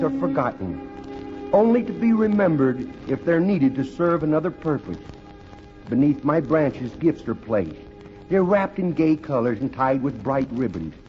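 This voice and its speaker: A man playing a confused, earnest young Christmas tree in a theatrical, questioning 1950s radio monologue. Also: on the high side. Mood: solemn. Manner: childlike, theatrical, questioning delivery. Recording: dull old radio recording, music behind.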